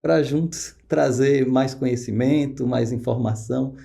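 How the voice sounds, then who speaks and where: Podcast guest expert: male, northeastern Brazilian accent, soft dark timbre with fry, explanatory and searching for words. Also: medium pitch, fairly even intonation, unhurried. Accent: northeastern Brazilian, Pernambuco. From Pernambuco, northeastern Brazil.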